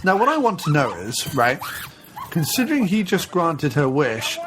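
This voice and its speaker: A man's voice: a little high, sing-song, normal speed, background noise. Joking man riffing on a movie. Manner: joking, riffing, mock-quoting characters. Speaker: podcast co-host. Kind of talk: casual movie commentary with jokey put-on voices.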